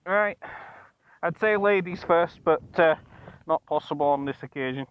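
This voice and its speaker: A man's voice: a little high, normal speed, clear, resonant tone. A man chatting casually as he rides a motorbike, with cheeky, sweary banter, speaking into a helmet mic. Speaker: motovlogger. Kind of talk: casual chat while riding. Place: outdoors on a motorcycle ride. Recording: muffled helmet mic, loud vehicle noise. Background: motorcycle engine and road noise.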